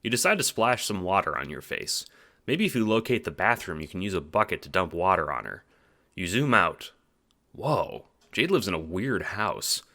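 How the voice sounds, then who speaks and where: A man's voice: low voice, big pitch swings, moderate pace, bright, forceful tone. A man delivering scripted audiobook narration in a wry, theatrical, playful way.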